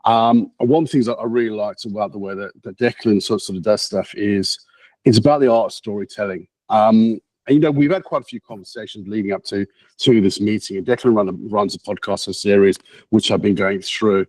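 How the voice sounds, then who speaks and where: A man hosting a meetup, introducing the guest speaker in a halting way with many repeats and restarts. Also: lowish voice, steady tone, darkish tone, no room sound, low-quality recording. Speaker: meetup host.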